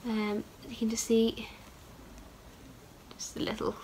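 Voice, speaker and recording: A woman's voice: on the high side, completely flat delivery, takes it slowly, a little mellow, slight background noise, rough recording. A young woman hosts a knitting podcast in a casual, chatty way, full of ums and upbeat asides, and she has a head cold. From Ireland. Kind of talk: casual show-and-tell chat.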